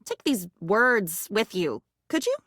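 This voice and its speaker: A woman's voice: medium pitch, very animated, takes it slowly, fairly bright tone, dry recording, studio quality, full character voice. An actress voicing a volatile, lonely, dreamy woman in a video game, rambling theatrically from one non sequitur to the next. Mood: agitated, upset. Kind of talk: video game character voice acting.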